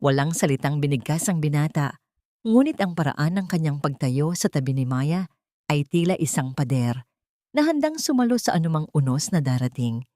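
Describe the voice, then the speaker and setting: A woman narrating a scripted dramatic story in a measured, solemn manner. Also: low voice, lively intonation, mellow, no room sound, studio quality.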